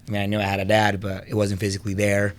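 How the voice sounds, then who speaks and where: A man's voice: fairly low pitch, monotone, racing. A man in his thirties from Venezuela, a podcast guest telling his own story in a casual, conversational way, often saying "you know". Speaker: podcast guest, fitness business owner and trainer.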